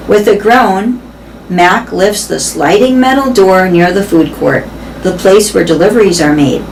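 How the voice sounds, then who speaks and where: A woman reading a children's book aloud in a deliberate, expressive storytelling style. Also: mid-range voice, gravelly, low-quality recording. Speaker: read-aloud reader for elementary pupils. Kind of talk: children's book read-aloud, scripted narration. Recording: faint background noise.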